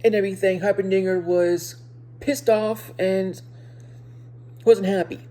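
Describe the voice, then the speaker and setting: A woman with a soft-edged, mellow voice reviews a show casually for her viewers. She has a speech impediment and moves haltingly through her sentences, often circling back to repeat or reword a phrase. Her pitch sits in the middle range for a woman. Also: fairly even intonation. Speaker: video reviewer.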